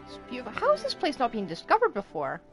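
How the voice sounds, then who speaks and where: Woman game streamer, lively intonation, casual commentary, musing aloud, faint music behind. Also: fairly high pitch, quick pace. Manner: musing aloud, thinking in questions. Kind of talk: casual live gameplay commentary.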